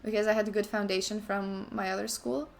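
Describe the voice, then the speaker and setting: A woman's voice: mid-range voice, steady tone, brisk, vocal fry, soft background music. A young woman from Ukraine chatting casually on a stream, often restarting sentences and slipping in asides to herself. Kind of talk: casual chat while playing chess.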